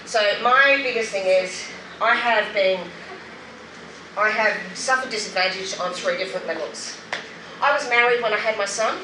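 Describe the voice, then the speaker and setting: A middle-aged Australian woman tells her own story as a speaker at a public event. She is emphatic and candid, with a dry wit. Her voice comes from a distance in an echoing space, with clear noise in the recording. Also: mid-range voice, normal speed. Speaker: guest speaker, anti-poverty group member sharing her story. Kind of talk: personal testimony in a public speech. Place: roomy venue at a public event. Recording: roomy, noisy, distant event microphone.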